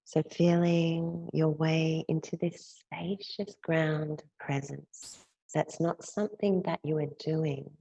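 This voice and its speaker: A woman teacher leading a guided yoga nidra meditation in a gentle, soothing, unhurried way, leaving long pauses between phrases. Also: sits low, fairly even intonation, creaky, husky, no room sound, muffled recording.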